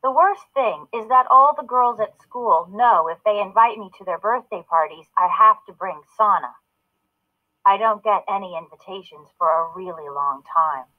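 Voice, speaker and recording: A woman reads a children's story aloud as narrator. Her voice sits at a medium pitch, and the recording is narrow, like a telephone line. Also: normal speed. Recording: narrow phone-like sound.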